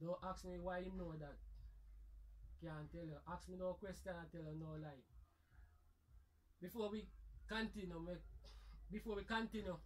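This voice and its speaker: A man's voice: high-pitched, fairly even intonation, moderate pace, pauses often, rough recording. A man with a Jamaican accent hosting and telling comic stories in Patois-tinged speech. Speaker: storyteller host. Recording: faint background noise.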